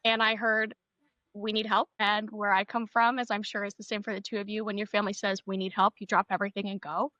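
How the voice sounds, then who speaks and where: Bright upbeat young woman from Maine. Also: mid-range voice, little pitch movement, fast talker, no room sound, dull audio. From Maine, USA. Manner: upbeat, descriptive storyteller. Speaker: podcast guest, rental manager. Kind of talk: casual interview chat. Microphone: remote video call.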